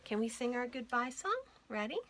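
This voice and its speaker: A woman hosting a children's storytime, reading stories and nursery rhymes aloud in an expressive, clearly enunciated way for young listeners. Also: a little high, a little fast, soft background music. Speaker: children's storytime host at a library.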